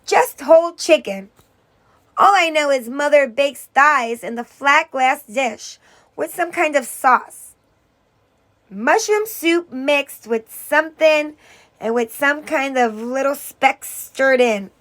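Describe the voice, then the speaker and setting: A high-pitched young girl played by a woman reader, the practical big sister of a storybook. She complains insistently and takes her time over each grievance. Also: lively intonation, slow, a bit bright, exaggerated voice. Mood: worried.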